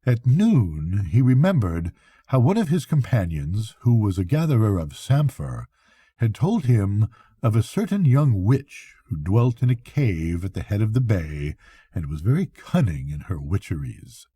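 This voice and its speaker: An older man, a grandfather, narrating a bedtime story in an expressive, theatrical way. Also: fairly low pitch, a little slow, slightly rough, no room sound. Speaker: grandfather reading bedtime stories.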